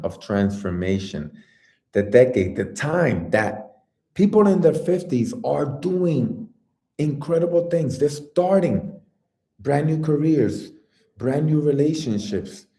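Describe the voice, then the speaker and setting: A man's voice: mid-range voice, lively intonation, slow pace, mellow, reverberant. A man giving an earnest, encouraging motivational talk as a life coach, speaking directly to his listeners.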